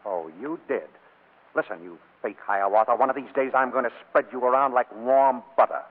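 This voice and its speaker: Actor as harried, sly husband, old tinny radio. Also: male voice, medium pitch, normal speed, natural voice. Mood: wheedling, irritated. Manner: urgent, wry, wheedling. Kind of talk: 1940s radio comedy acting.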